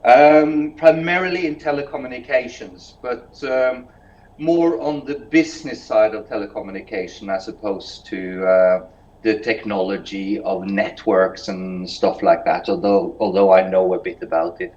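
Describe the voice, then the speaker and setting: A man from Norway introducing himself on a video call in a relaxed, wry way, with many fillers. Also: fairly low pitch, measured pace. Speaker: webinar participant. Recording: roomy, dull video-call sound.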